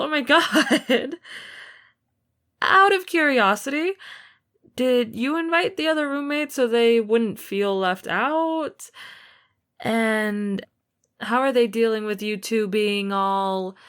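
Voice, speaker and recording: Woman playing young easygoing big sister, bright-pitched, slow-paced, teasing, exaggerated reactions, roleplay drama. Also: clear, resonant tone. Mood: delighted, curious. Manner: playful, exaggerated reactions, drawn-out words.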